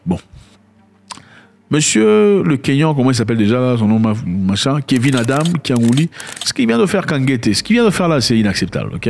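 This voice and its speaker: A man giving casual spoken commentary, emphatic and sarcastic, who repeats words and swings between loud and quiet. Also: mid-range voice, sing-song, a little fast, creaky, slight background noise. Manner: sarcastic asides.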